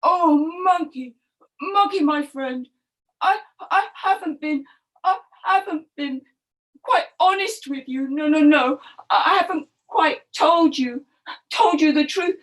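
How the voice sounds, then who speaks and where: A woman as an anxious, high-pitched crocodile husband. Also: slow, poor audio. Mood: guilty, confessing. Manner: hesitant, repeating, exclaiming. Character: soft-hearted, anxious, pleading male crocodile husband.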